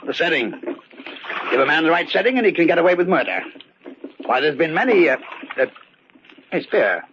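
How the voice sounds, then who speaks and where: An actor playing a wealthy, fussy uncle in an old radio drama, talking imperiously and rambling with hems and haws. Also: male voice, fairly high pitch, big pitch swings, brisk. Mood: suspicious, expansive. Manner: imperious, rambling, hemming and hawing. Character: wealthy, fussy, talkative uncle out duck hunting. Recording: noisy, muffled old radio recording.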